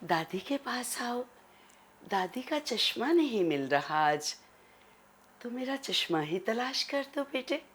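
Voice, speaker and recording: A woman's voice: a little high, big pitch swings, takes it slowly, slightly rough, pitched above the performer's own voice, heavily acted. A woman voicing a doting old grandmother from a children's cartoon, coaxing and affectionate. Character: doting old cartoon grandmother who lost her glasses.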